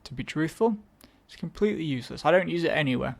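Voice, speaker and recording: A young man with an English accent talks loosely to his listeners between tracks. His voice sits a little on the high side, and he keeps correcting himself mid-sentence with dry, self-mocking asides. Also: animated, quick pace, vocal fry. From England. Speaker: podcast host. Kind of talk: casual solo chat introducing songs.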